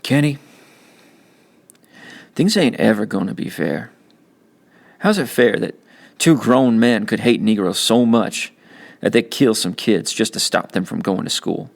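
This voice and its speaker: A man's voice: lowish voice, animated, measured pace, vocal fry, pauses often, exaggerated voice. A man voicing a cocky, streetwise teenage older brother with blunt, slangy talk in a novel reading. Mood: earnest.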